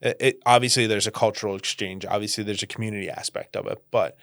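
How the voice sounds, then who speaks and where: A man from the Northeastern United States, a podcast guest talking casually, animated, often laughing and saying "like". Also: lowish voice, fast, vocal fry, dry recording, studio quality. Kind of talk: casual conversation.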